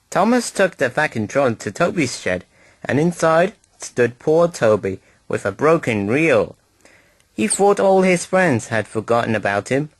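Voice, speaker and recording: Slow, animated male storyteller. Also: mid-range voice, dry recording. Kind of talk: scripted children's story narration.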